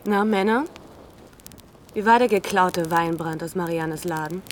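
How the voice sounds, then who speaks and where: A woman's voice: on the low side, measured pace, slight background noise, lightly acted. A woman playing a timid but stubborn newly homeless widow in a German crime radio drama, hesitant and guarded. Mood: urgent.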